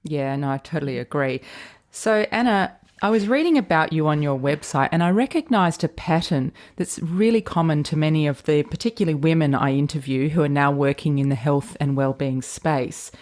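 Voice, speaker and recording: A woman hosting a podcast, reading a scripted intro and then interviewing a guest in a measured, friendly way. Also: low-pitched, a little mellow, dry recording. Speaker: podcast host.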